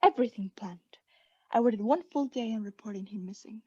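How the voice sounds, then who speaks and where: A young woman playing a guilty, unhinged caretaker in a radio play, breathy and often whispering, with halting, insistent self-justification. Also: a little high, a little mellow, dull audio. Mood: smug, unhinged. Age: young adult. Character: guilty, unhinged caretaker, anxiously feigning calm politeness. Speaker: student actor.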